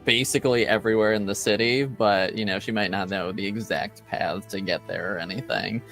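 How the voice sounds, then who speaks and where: A man runs a tabletop roleplaying game as game master. He narrates casually in a lowish voice, with faint music in the background. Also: fairly even intonation, a little fast, a bit bright.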